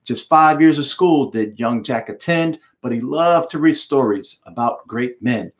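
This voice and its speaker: A middle-aged man with an American accent performs a read-aloud for an audience of listeners. His delivery is emphatic and theatrical, using deliberate pauses and repetition, in a medium-pitched voice. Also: expressive. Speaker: author reading his book aloud. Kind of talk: expressive storybook read-aloud with explanatory asides. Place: home room with bookshelves, indoors.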